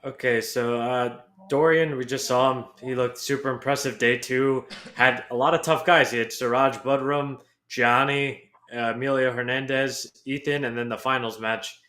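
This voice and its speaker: A man's voice: mid-range voice, steady tone, normal speed, few pauses, bright, forceful tone. A man from New York with a Brooklyn accent, talking as a guest in a casual chat, laid-back and plainspoken. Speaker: grappling guest analyst.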